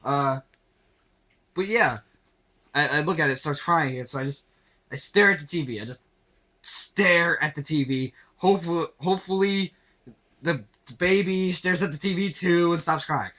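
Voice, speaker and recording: A young man telling a casual anecdote to camera in a halting, sweary way, acting out the dialogue as he goes. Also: fairly high pitch, pushed and bright. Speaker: storyteller.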